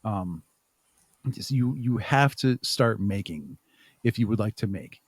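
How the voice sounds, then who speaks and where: A man's voice: lowish voice, lively intonation, a little slow, slightly rough, no room sound. A man talking informally as an interview guest, hesitating and thinking aloud as he answers. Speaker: podcast guest, audio drama creator and podcaster. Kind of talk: casual interview chat.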